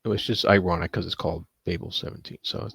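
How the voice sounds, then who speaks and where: A man talking casually as a panelist in a book discussion, halting and repeating words as he searches for phrasing. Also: deep voice, steady tone, gravelly, a bit bright, husky, no room sound, studio quality.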